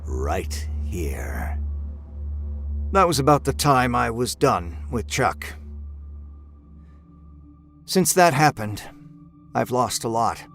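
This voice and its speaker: Man reading a creepy story, slow and brooding, soft music underneath. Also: mid-range voice, animated, vocal fry. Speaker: story narrator. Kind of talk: first-person horror story narration.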